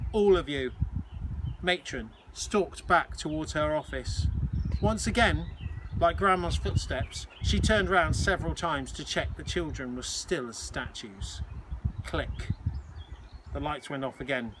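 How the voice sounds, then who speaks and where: A man reads a children's story aloud like a schoolteacher. His voice sits a little high and the narration is expressive. There is clear noise behind him, with birds chirping. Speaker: teacher reading aloud.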